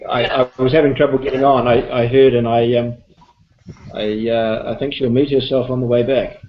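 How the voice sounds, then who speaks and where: A man from New Zealand on a group video call, friendly and joking, halting with fillers. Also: medium pitch, little pitch movement, a little hiss or hum. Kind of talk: casual group video chat. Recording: slightly dull call audio.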